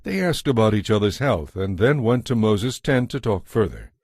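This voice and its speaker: Low-pitched male Bible narrator. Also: expressive, darkish tone, breathy edge, no echo, studio quality. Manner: measured storytelling.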